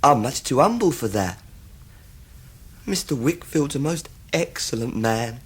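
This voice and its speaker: Husky, oily young clerk. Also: male voice, mid-range voice, expressive, measured pace. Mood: obsequious. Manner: fawning, self-abasing, oily. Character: obsequious, scheming young male law clerk, falsely humble. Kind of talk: radio drama acting.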